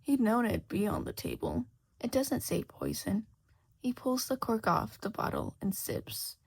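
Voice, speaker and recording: A woman narrates a piece of fan fiction from a script. Her voice is husky, with a creaky edge, and her pitch moves expressively as she reads. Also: wildly swooping pitch, unhurried, darkish tone. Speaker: podfic reader.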